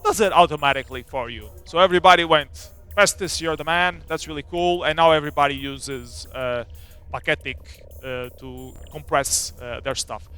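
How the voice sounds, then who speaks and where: A man's voice: mid-range voice, moderate pace, a bit bright, clear, resonant tone, faint music behind. Casual man giving a technical seminar. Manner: loose, filler-heavy, informal. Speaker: seminar presenter. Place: seminar room at a demo party.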